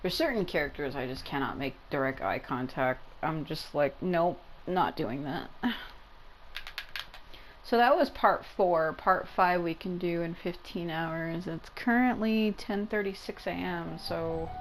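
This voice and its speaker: A woman giving casual, expressive, exclamatory gameplay commentary with plenty of swearing. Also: lowish voice, unhurried, slight background noise. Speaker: gaming streamer. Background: game music.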